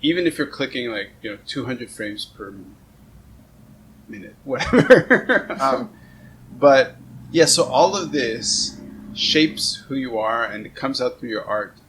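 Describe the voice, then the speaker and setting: A man's voice: medium pitch, animated, a little slow, fairly bright tone, rough recording. A man hosting a casual, conversational interview in an effusive, complimentary way. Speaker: interviewer and show host. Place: on a houseboat, seated at a table. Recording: clear background noise and ambience.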